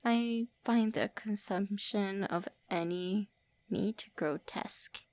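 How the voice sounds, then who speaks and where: A woman voicing a lonely, prickly female vampire who is centuries old, in an ASMR roleplay, often speaking softly or in whispers. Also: medium pitch, slow, close to an everyday voice. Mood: melancholy. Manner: tsundere, sharp then wistful.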